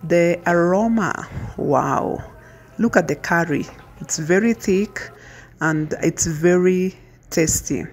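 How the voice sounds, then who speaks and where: A woman's voice: on the low side, takes it slowly. A woman hosting a home cooking video, casually talking through each step as she cooks in a kitchen. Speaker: cooking channel host.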